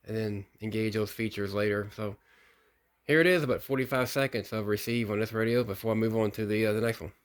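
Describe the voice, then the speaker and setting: A man casually narrating a hobbyist review in an unhurried, folksy, explanatory way. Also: lowish voice, fairly even intonation. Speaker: radio hobbyist presenting a video.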